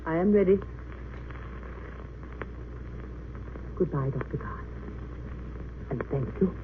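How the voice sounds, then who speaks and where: A woman's voice: sits low, animated, moderate pace. A condemned woman prisoner, composed and resolute, speaks in earnest, oratorical phrases. It is old-time radio drama acting, in a thin narrow-band recording with faint noise. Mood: quiet resignation. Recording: muffled, noisy vintage radio recording.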